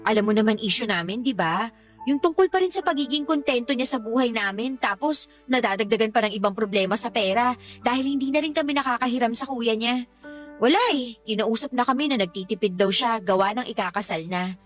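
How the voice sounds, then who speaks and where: A woman's voice: fairly high pitch, brisk, lightly acted. A Filipino woman acting in a radio drama as a fed-up young mother, complaining in an exasperated way. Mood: bitter, frustrated. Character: fed-up young mother venting about her partner. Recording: background music under the voice.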